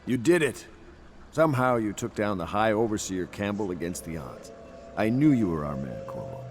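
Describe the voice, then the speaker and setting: A man's voice: fairly low pitch, sing-song, moderate pace. A man playing a commanding, resolute conspiracy leader, speaking formally and strategically in video game dialogue. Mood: triumphant. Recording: clear music behind.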